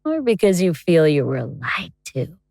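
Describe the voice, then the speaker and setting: A woman playing a calm, menacing female elder god in a horror audio drama, composed, commanding and coolly mocking. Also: low-pitched, unhurried, gravelly, no echo, studio quality, full character voice. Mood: civil, mocking.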